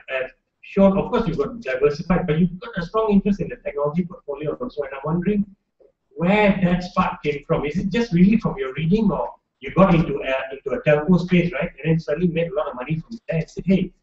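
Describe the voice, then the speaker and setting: A man's voice: a little high, animated, quick pace, darkish tone. A man with a Malaysian English accent moderating a panel, asking long, hedging questions into a shared handheld mic. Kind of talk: panel discussion. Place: office event space with audience. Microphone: shared handheld mic, far camera. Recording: roomy, distant, dull lo-fi sound.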